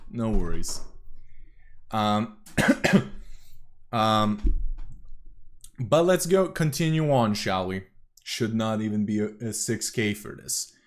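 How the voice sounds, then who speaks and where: A man's voice: lowish voice, expressive, takes it slowly, pauses often. Guy streaming, swears a lot, keeps correcting himself, voice jumps up and down. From Serbia. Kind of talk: casual reaction commentary.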